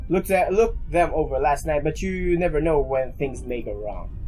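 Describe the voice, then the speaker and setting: A man's voice: fairly high pitch, normal speed, mellow, low-quality recording. A man reading a visual novel aloud as a let's player, with crude, playful joking asides. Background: game music.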